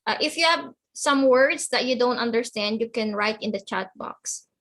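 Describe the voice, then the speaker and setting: Higher-voiced woman teaching online. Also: normal speed, a bit bright. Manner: simple phrasing, repeats and checks understanding. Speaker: English teacher. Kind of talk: online lesson, explaining words and questioning students.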